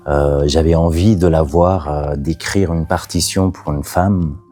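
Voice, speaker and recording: Man, native Catalan speaker, very low pitch, mellow dark tone, halting speech, soft trailing endings. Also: steady tone. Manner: hesitant, many fillers and restarts. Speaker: playwright. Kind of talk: interview about his play.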